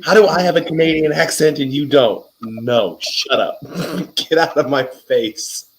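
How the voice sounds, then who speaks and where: A man's voice: a little high, measured pace, a little hiss or hum. A man hosting an interview, chatty and joking, telling loose profane stories in a casual conversation. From Wisconsin. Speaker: interviewer, podcast host.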